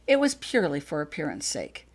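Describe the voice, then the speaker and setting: A woman voicing a calm, earnest man in an audiobook story, measured and gently apologetic as he explains. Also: deep voice, slightly rough, heavily acted. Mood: grave, factual.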